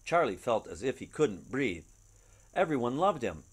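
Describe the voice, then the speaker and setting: A middle-aged man reading a children's picture book aloud at home in an expressive, dramatic storytelling manner. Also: mid-range voice, moderate pace, slightly rough. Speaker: storybook reader. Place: living room at home. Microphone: webcam at about arm's length.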